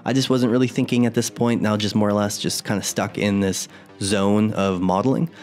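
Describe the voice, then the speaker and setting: A young adult man with a fairly low voice explains his modeling steps in a casual, conversational voice-over. Soft music plays faintly underneath. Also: little pitch movement, brisk. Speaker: 3D artist tutorial host. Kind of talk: voice-over tutorial narration.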